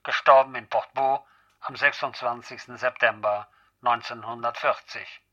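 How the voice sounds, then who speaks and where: A man's voice: mid-range voice, fairly even intonation, normal speed, bright, forceful tone. A man formally reading out scripted biographical dates. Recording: dull, lo-fi radio-like sound.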